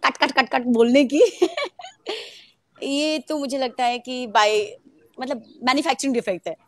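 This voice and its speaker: A young actress from Mumbai talks casually in an interview, her voice sitting a little high. She laughs often and fills her pauses with hesitations as she tells her story. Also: animated. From Mumbai, India.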